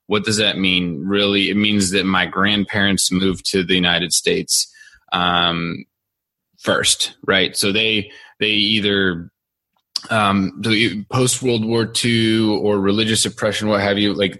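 A man's voice: fairly low pitch, pushed and bright, no echo. A man in his thirties who grew up in Ukraine, a company CEO telling his story in a casual podcast interview, chatty with many fillers and restarts.

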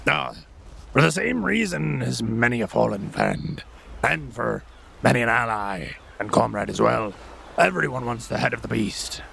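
A man voicing a raspy, blunt male whaling ship captain who talks plainly with old-fashioned phrasing. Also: mid-range voice, sing-song, normal speed, sharp tone, a little hiss or hum, heavily acted. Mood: gruff.

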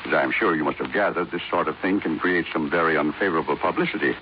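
A man's voice: rumbling low, fast talker. A man acting a guarded, blunt older business magnate in a 1950s radio drama, speaking imperiously. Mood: insistent, defensive. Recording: dull, lightly noisy 1950s radio recording.

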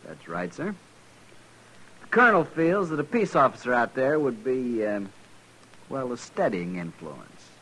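An actor with an American accent playing a stiff, arrogant young army officer in a 1950s radio western, formal and clipped. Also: male voice, mid-range voice, sing-song, a little slow, pauses often, pushed and bright, heavily acted, rough recording. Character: stiff, arrogant, hawkish young cavalry lieutenant. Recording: hissy old radio broadcast.

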